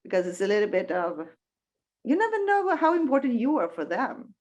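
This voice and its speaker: A woman's voice: fairly high pitch, sing-song, a little fast, darkish tone. A woman speaking thoughtfully as a panel guest. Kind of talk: reflective conversation.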